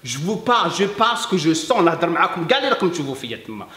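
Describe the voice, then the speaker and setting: A man, a French speaker, talking earnestly to camera as a fitness coach, emphatic and halting, slipping between French and Arabic. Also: on the high side, very animated, pushed and bright, slight background noise. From Algeria. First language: English. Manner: emphatic, halting non-native French with repeats. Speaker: fitness coach and video host. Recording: echoey room.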